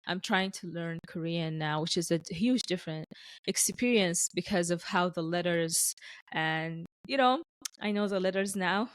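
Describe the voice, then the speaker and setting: A young woman hosting a podcast, Arabic her first language, chats eagerly and often restarts her sentences. Her voice is a little low and sounds dry and close. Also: unhurried, dry recording. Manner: warm encouraging interjections, laughs often, restarts. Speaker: podcast host. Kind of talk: casual conversational interview.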